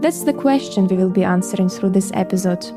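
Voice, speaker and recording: A Ukrainian woman in her thirties, a podcast host reading a measured, reflective scripted narration with a Ukrainian accent. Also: medium pitch. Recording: music bed behind voice. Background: music.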